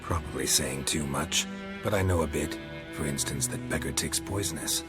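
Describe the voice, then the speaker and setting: A man's voice: extremely low pitch, moderate pace. An actor playing a terse, dry middle-aged male monster hunter in video game dialogue, with game music behind. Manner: terse, dry, clipped sentences.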